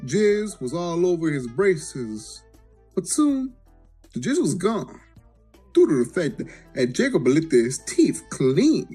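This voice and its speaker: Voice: mid-range voice, big pitch swings, slow pace, dark tone, slightly rough, faint music behind. A person giving a crude, mock-dramatic reading of a comic story, sometimes breaking off into blunt asides. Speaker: amateur story reader.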